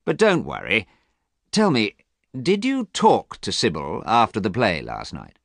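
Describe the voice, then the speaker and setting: A man voicing a worldly, persuasive gentleman friend in a read-aloud story, with a theatrical, coaxing lilt. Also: on the low side, huge pitch swings, measured pace, no echo, clean studio sound, heavily acted. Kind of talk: audiobook character reading.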